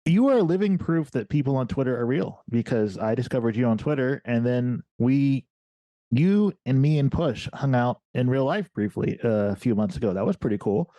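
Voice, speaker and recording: Mellow-voiced male podcast host. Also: medium pitch, animated, moderate pace, no echo. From Ohio, USA. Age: 40s. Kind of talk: casual interview.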